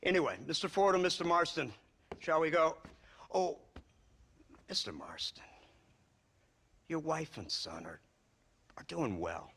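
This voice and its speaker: An actor playing a smug, menacing government lawman in a game, with an American accent, a husky voice and condescending mock politeness. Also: fairly high pitch, expressive, a little slow, long pauses, a bit bright, full character voice. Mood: smug threat. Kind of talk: video game cutscene acting.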